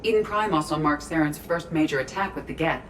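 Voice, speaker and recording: A woman voicing a calm, analytical artificial intelligence in a video game, speaking formally and precisely. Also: deep voice, brisk, slight background noise, lightly acted. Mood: detached.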